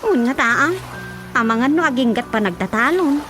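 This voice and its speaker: A woman with an Ilocano Filipino accent playing an insistent, teasing young rural woman in a comedic radio drama, with expressive delivery. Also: fairly high pitch, normal speed, over music, natural voice. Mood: insistent, conversational.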